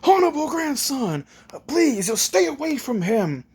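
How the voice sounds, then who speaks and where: A man's voice: high voice, very fast, husky, pitched above the performer's own voice. A man voicing a fussy, indignant male guardian in an anime story, formal, flustered and scolding. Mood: disapproving.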